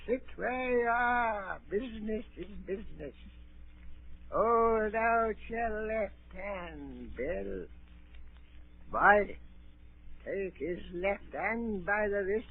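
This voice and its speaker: High-voiced, sinister blind old beggar pirate. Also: male voice, creaky, heavily acted. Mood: coldly commanding. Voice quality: soft-spoken. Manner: slow, wheedling, rustic seafaring speech. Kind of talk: 1930s radio drama acting. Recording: tinny, band-limited 1930s radio recording.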